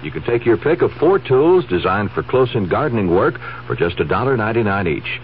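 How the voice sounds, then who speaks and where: A man's voice: fairly low pitch, big pitch swings, a little fast. A man delivering a scripted radio commercial as a product spokesman. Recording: dull, faintly hissy vintage radio.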